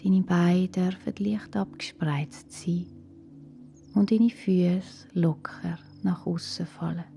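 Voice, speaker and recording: A woman with a Swiss German accent guiding a relaxation meditation in a calm, soothing, hushed and breathy voice. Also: fairly low pitch, takes it slowly. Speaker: guided relaxation instructor for children. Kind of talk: slow guided yoga nidra meditation. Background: soft music.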